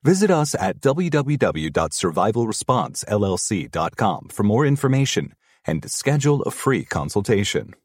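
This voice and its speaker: A man reading an advertisement in a serious, measured way. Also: lowish voice, sing-song, a little mellow, breathy edge, dry recording. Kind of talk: read advertisement.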